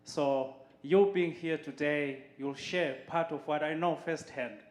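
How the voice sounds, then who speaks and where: A man from Zimbabwe giving a short, earnest welcome to a church audience, speaking slowly with frequent pauses. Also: fairly high pitch, reverberant. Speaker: board member of a water charity. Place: church hall.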